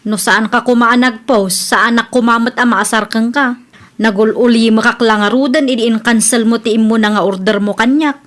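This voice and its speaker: A woman with an Ilocano Filipino accent playing a sharp-tongued, grumbling wife in a radio comedy drama. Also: fairly high pitch, steady tone, moderate pace, close to an everyday voice. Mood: scolding.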